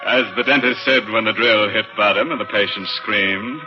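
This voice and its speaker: An actor playing a sly, sinister horror-show host, theatrical in an old radio style. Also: male voice, on the low side, natural voice. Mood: macabre glee. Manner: drawn-out, theatrical, gleefully sinister. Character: ghoulish, sly male horror-show host cracking morbid puns. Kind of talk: 1940s radio horror hosting. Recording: muffled vintage radio recording, loud music.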